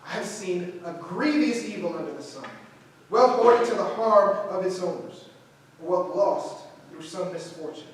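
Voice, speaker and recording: A man's voice: high voice, measured pace. A man with an American accent playing a proud, principled, struggling idealist in staged theatrical dialogue. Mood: solemn. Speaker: actor in a staged reading. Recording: very echoey hall, distant microphone.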